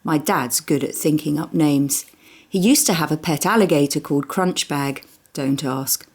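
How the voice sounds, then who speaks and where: A woman reading a children's book aloud in an expressive storytelling manner, with dramatic pauses. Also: deep voice, breathy edge. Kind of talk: expressive children's audiobook narration.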